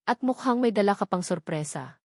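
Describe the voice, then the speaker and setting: A woman's voice: mid-range voice, expressive, normal speed, no room sound, lightly acted. Woman doing rich old filipino grandpa in a story, bossy, sardonic, calculating, dry sound. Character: powerful, imposing, calculating old tycoon grandfather.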